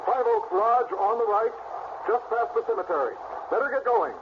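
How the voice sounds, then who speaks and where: High, urgent state trooper. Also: male voice, expressive, normal speed. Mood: urgent warning. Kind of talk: radio drama acting. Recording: thin phone-like noisy radio recording.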